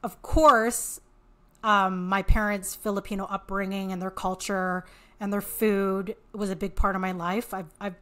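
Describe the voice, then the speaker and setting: A woman with a Canadian accent speaks as a guest in a casual interview. Her voice sits at a middle pitch. She explains things conversationally, repeating and restarting words as she works through a thought. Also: flat delivery, measured pace, sharp tone. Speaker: podcast guest, author and marketing entrepreneur.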